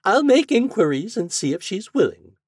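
A man's voice: sits high, big pitch swings, moderate pace, a little mellow, breathy edge, no room sound, clean studio sound, voice pushed up, full character voice. A young lady's maid, voiced by a man. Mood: eager.

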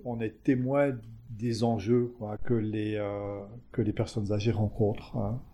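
A man's voice: on the low side, flat delivery, a little slow, warm tone. A man answering interview questions as a community organization manager, hesitant, with frequent fillers, restarts and tag words. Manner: tags phrases with "hein".

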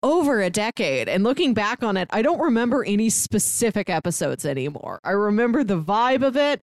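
A woman's voice: medium pitch, very animated, a little fast, no echo. Woman podcast cohost just chatting, bouncy intonation, dry close sound. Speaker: podcast co-host. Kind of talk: casual chat.

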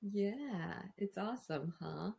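A woman's voice: medium pitch, animated, slow, vocal fry, warm tone. A woman hosting a casual interview show, enthusiastic and affirming toward her guest. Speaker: podcast host.

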